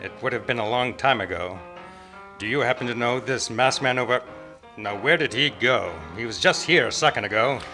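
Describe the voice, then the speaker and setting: A man's voice: medium pitch, moderate pace, bright, forceful tone. A man playing a courteous, folksy frontier marshal in an amateur old-time radio play. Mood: friendly, wondering. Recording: live club performance with music behind.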